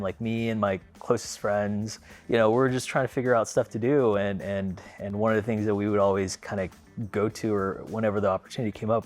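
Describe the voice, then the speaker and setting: A man in his thirties, born in the Philippines and raised in Los Angeles, casually telling the story of his car, rambling with many fillers and restarts. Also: lowish voice. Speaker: car owner.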